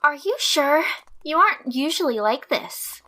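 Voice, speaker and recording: A woman voicing a bubbly, caring teenage girl pony in a fan audio drama, very expressive. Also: high-pitched, unhurried, heavily acted. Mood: concerned. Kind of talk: animated-fandom audio drama acting.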